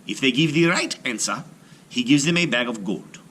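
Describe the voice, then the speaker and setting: A man's voice: mid-range voice, animated, normal speed, a bit bright, breathy edge. A man voicing an anxious, earnest, honest coppersmith father in a storybook folktale. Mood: miserable. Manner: miserable, earnest.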